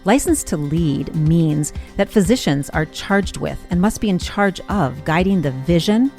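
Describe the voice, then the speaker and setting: A woman's voice: fairly low pitch, expressive, unhurried, darkish tone, faint music behind. A woman hosting a podcast, reading scripted introductions and putting questions to a guest. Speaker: podcast host.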